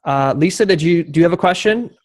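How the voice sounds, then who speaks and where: Male moderator, moderately high pitch, informal, courteous, appreciative hosting delivery. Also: fast talker. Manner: polite, appreciative. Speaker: host, moderator.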